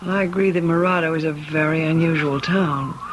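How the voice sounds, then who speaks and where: A woman's voice: low voice, steady tone, moderate pace, noisy background, lightly acted. An actress playing an anxious but practical woman traveler, earnest and imploring, in an old-time radio drama. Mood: worried, earnest.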